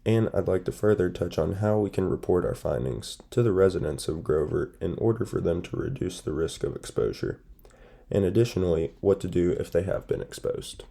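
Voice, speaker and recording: A young man with a stuffy, nasal voice from a sinus infection, reading a formal class presentation in a measured, steady way. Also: low-pitched, gravelly. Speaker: student presenter.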